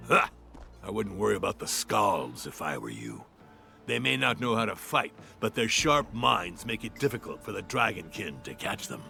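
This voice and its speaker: A jaunty, dismissive warrior sidekick in a fantasy game. His low voice is extremely gravelly and swings widely in pitch, with a forceful edge. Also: very animated, normal speed, faint music behind, heavily acted. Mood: jaunty, breezy. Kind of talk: video game cutscene acting.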